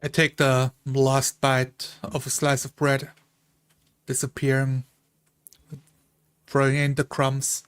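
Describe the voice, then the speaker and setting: A man's voice: mid-range voice, completely flat delivery, slow, pauses often, smooth. A man playing a tabletop fantasy game, casually improvising his character's actions and lines in the first person. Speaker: tabletop roleplay player.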